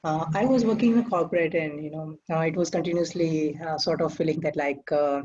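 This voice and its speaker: A soft-spoken man from India speaks in Indian English, hedging with plenty of you-knows as he introduces himself. His voice comes through muffled, lo-fi call audio with a faint hiss behind it. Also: fairly high pitch, brisk. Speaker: technical support. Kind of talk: casual self-introduction. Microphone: video call.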